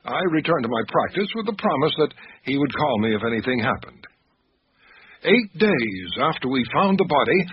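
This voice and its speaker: An actor playing an anxious, literate male doctor who tells an eerie mystery in a radio drama, with measured, expressive delivery. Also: fairly high pitch. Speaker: narrator and lead character. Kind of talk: first-person radio drama narration and dialogue. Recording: noisy, dull radio drama recording.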